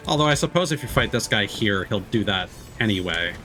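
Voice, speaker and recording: A man's voice: on the low side, expressive, brisk, over music. A man giving casual, laid-back live commentary as he plays a video game, explaining things as he goes.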